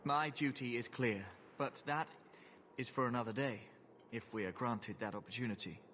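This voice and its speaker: An actor voicing a dutiful templar knight, speaking in a solemn, formal, prayerful way. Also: male voice, medium pitch, fairly even intonation, normal speed, fairly bright tone, a little hiss or hum, natural voice.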